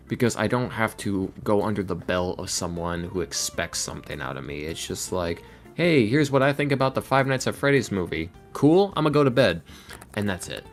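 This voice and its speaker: A man talking casually as a podcast guest, earnest and self-deprecating in a rambling way. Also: fairly low pitch. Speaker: podcast guest, ASMR roleplay creator and voice actor. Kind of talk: casual podcast interview talk. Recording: music playing under the talk.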